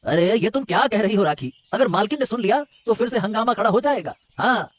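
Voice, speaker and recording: A man voicing a meddling, placating servant of a rich household in a cartoon story, excitable and chatty. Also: sits high, a little fast. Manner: excitable, chatty, brushing off worries. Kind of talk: Hindi cartoon story dialogue.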